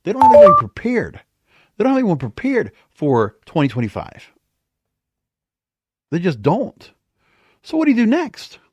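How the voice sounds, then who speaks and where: A man's voice: high voice, huge pitch swings, normal speed, pauses often, breathy edge, no echo, muffled recording. A man hosting a podcast, giving casual solo commentary in an emphatic way, full of rhetorical questions. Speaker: podcast host.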